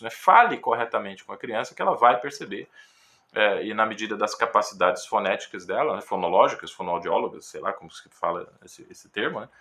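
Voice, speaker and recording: A man with a Brazilian Portuguese accent, a guitar teacher giving a casual, thoughtful talk with frequent pauses and fillers. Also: mid-range voice, bright, forceful tone. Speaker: guitar teacher reviewing a book. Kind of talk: casual spoken commentary.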